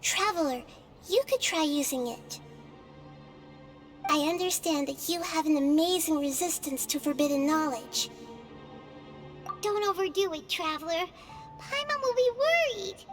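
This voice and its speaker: A woman's voice: very high voice, lively intonation, unhurried, pauses often. A woman voicing a small, earnest childlike girl in a fantasy game. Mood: gentle, thoughtful. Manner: earnest, youthful. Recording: game music behind the voice.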